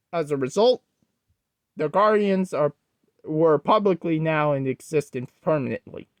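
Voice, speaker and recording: A storyteller narrating a creepy tale in a halting way, often stumbling and rewording. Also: mid-range voice, dry recording. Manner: halting, corrects own wording mid-sentence. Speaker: host, storyteller.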